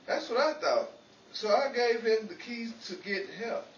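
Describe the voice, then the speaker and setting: A man playing a high-pitched, excitable radio schemer. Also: a little slow, highs cut off. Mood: rueful storytelling. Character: Dennis, well-meaning scatterbrained man, forever in trouble. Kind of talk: 1940s old-time radio comedy acting. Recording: echoey, distant, noisy room recording.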